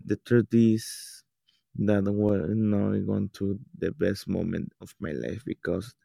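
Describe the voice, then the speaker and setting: Slow, gravelly-voiced teenage boy. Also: on the low side, fairly even intonation, dark tone, no echo. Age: teens. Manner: halting, non-native English with restarts. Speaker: student telling his story. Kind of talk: reading a personal account.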